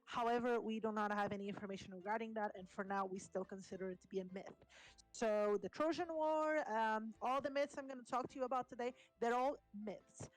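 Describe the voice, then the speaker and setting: A woman from Portugal, a native Portuguese speaker, explaining mythology in casual podcast chat, pausing often with ums. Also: fairly high pitch, faint music behind, low-quality recording. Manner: hesitant, many ums and restarts. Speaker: podcast co-host, explaining history.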